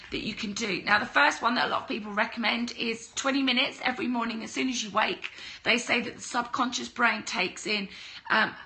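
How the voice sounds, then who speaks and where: A young woman with a cold and a croaky voice, chatting on a livestream and giving blunt mindset advice. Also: fairly high pitch, sharp tone, poor audio. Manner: chatty, greets viewers, blunt tough-love advice. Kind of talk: casual livestream talk to viewers.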